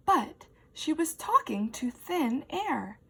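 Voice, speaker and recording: A woman's voice: high voice, big pitch swings, slow pace, gravelly, bright, forceful tone. A woman teacher reading a children's story aloud expressively and asking young listeners questions.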